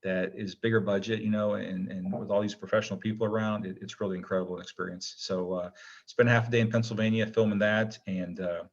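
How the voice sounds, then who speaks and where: A man's voice: lowish voice, level tone, fairly quick. A man giving a casual interview as a guest, talking in a hesitant, rambling, modest way. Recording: dull, lo-fi connection.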